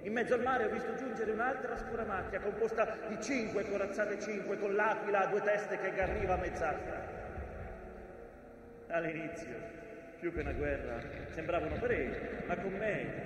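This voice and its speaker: Cavernous echo, far from the mic, male actor as ancient sardonic mountain, high projected voice, declamatory stage monologue. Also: moderate pace, lightly acted. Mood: solemn. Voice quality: projected, theatrical. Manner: declamatory, dramatic, ironic storytelling. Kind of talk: theatrical monologue. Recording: echoey theatre stage, distant mic, faint noise.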